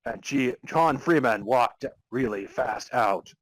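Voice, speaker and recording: A man's voice: medium pitch, animated, takes it slowly. A man reading amateur fan fiction aloud as narrator, in a mock-serious dramatic storytelling style. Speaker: podcast host reading as narrator.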